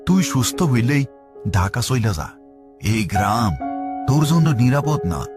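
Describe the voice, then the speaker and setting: A man's voice: mid-range voice, big pitch swings, a little slow, music in the background. A man playing a stern, protective village uncle, grave and scolding, with a rural Bangladeshi Bengali dialect. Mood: grave concern.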